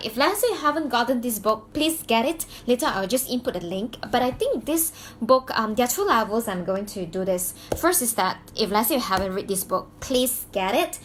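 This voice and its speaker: A woman from Malaysia speaks with a Malaysian accent in a fairly high voice, her first language being Mandarin. She tells a personal story in a loose, chatty way, full of "um"s and restarts, with a faint hiss of noise behind her. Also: fairly bright tone. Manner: chatty, many fillers and restarts. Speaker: vlogger and coach.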